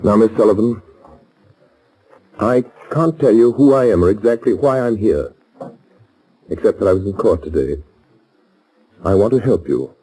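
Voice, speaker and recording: An actor playing a calm, shrewd amateur sleuth, speaking with formal, measured authority in a 1930s radio drama. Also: male voice, on the low side, husky. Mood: commanding, urgent. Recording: muffled vintage 1930s radio recording.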